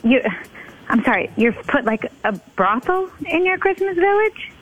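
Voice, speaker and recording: A woman's voice: very high voice, big pitch swings, normal speed, muffled recording. A woman working in a shop, speaking on the phone in a polite, hesitant, stammering way. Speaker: gift shop worker taking a customer's call. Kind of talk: customer service phone conversation.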